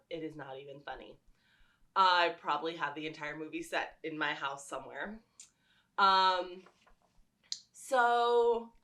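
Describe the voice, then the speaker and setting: Bright-voiced woman chatting casually to viewers. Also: medium pitch. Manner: enthusiastic, rambling storytelling. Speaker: vlogger and fan fiction writer.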